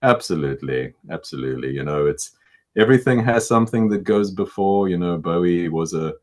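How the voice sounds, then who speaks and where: Very deep-voiced halting baritone man. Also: lively intonation. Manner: halting, repeats words while thinking. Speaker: musician interviewee. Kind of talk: casual interview talk.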